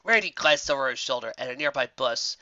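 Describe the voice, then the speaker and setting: An expressive narrator reading a scripted story aloud. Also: mid-range voice, fast, no room sound, muffled recording. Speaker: fan fiction narrator.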